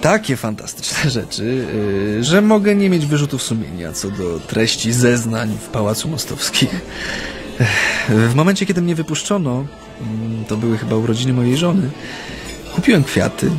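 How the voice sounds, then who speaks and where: Radio drama: male dissident raconteur, amused, halting and conversational, mid-pitched, with faint music underneath. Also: big pitch swings. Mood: amused storytelling. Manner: hesitant, chatty, amused storytelling. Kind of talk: radio drama acting, recounting memories in an interview.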